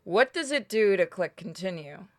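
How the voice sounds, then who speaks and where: A woman's voice: medium pitch, expressive, normal speed. A woman giving casual, blunt commentary as she plays through a game. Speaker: game streamer. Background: game music.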